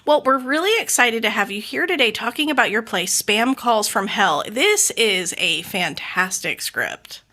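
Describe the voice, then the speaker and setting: Podcast host: American woman, higher-pitched, lively intonation, effusive and chatty. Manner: enthusiastic, effusive, quick to laugh. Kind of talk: scripted introduction and casual interview chat.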